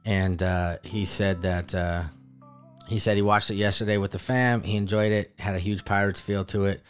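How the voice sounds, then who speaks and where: A man's voice: fairly low pitch, steady tone, soft background music. A man co-hosting a podcast, talking hesitantly about a movie he enjoyed. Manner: halting, hesitant, full of restarts. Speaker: podcast co-host. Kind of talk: casual movie review chat.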